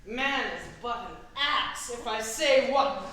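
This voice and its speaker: A giggly, boastful, dazed workman played by a woman in a staged Shakespeare reading, high and very slow. The delivery is theatrical and broken by sighs, heard from far off in an echoey space. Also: sharp tone. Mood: dazed wonder. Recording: echoey hall, distant mic, faint noise.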